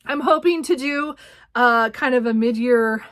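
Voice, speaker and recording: Woman w a high voice doing casual book talk, giggly n gushy, kinda rambles. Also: unhurried, fairly bright tone. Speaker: book reviewer and vlog host.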